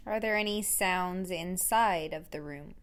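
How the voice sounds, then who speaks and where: A woman instructor leading a guided meditation in a gentle, unhurried way with pauses between phrases. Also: on the low side.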